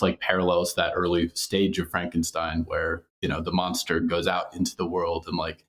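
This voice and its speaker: A man being interviewed as a podcast creator, talking conversationally and reflectively in long, winding sentences. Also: sits low.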